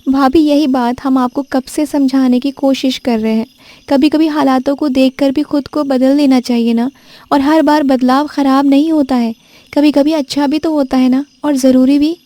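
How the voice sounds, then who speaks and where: Whiny young sister-in-law, nagging and coaxing, voiced by a woman; high, dry close sound. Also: steady tone, normal speed, warm tone, no echo, natural voice. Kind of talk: cartoon story voice acting.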